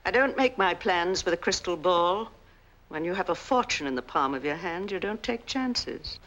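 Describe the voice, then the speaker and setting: A woman's voice: lowish voice, expressive, normal speed, fairly bright tone, highs cut off. An actress playing a middle-aged, calculating mother in a 1940s crime film, speaking in a curt, commanding way. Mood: cold, scheming. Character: cold, calculating scheming mother running a family plot.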